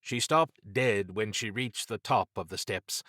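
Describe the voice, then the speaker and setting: A man narrating horror fiction as an audiobook, with measured, dramatic storytelling. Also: lowish voice, sharp tone, no room sound, clean studio sound.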